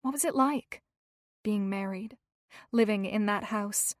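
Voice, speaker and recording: A woman voicing a caring young female friend who speaks gently and reassuringly. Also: mid-range voice, measured pace, no room sound, studio quality, lightly acted. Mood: soothing.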